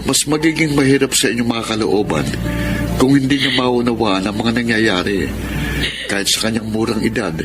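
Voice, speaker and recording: A man's voice: medium pitch, moderate pace, over music, close to an everyday voice. A man with a Filipino accent playing a kind, level-headed young family friend in a radio drama. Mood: gently advising. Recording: clear background noise.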